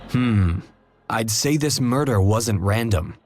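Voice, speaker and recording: An actor playing a thoughtful, analytical detective with a deliberate, musing manner in a mystery game. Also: male voice, on the low side, expressive, darkish tone, no room sound. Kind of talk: video game voice acting.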